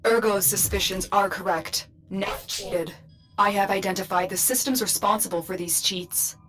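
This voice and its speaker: A woman plays a composed mission guide in a video game. She sounds analytical and serious, with a medium-pitched voice and measured delivery over music. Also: close to an everyday voice. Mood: urgent, focused. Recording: music behind the voice.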